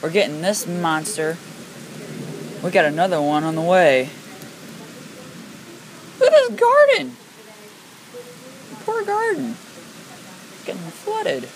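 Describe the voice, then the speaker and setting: Excitable storm watcher filming a weather vlog, voice jumps all over, rain in the background. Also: medium pitch, slow pace, gravelly, long pauses, poor audio. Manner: excitable, exclaiming, repeating words for emphasis. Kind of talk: excited amateur weather commentary while filming. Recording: home video with rain noise behind. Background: heavy rain.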